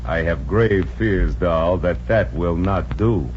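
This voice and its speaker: An actor playing a menacing, wisecracking gangster who talks in mock-polite slang in a 1950s radio comedy. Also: male voice, low-pitched, takes it slowly. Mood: mock grave. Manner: slangy, mock-polite tough-guy talk. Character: menacing wisecracking male gangster debt collector. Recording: muffled, noisy old radio recording.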